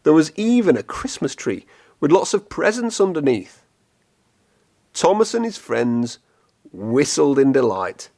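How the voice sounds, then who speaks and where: A man reading a children's storybook aloud in an expressive, clearly phrased storytelling manner. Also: a little high, measured pace, long pauses. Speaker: storybook reader.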